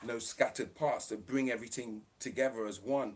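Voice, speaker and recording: A man's voice: mid-range voice, fairly even intonation, normal speed, a little mellow, breathy edge. A man ranting on a live stream, in a profane, preachy, fired-up way.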